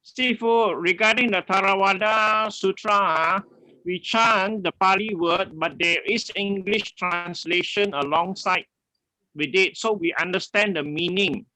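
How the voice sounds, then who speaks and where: Bright-voiced older man, halting. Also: high voice, fairly even intonation, rough recording. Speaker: student.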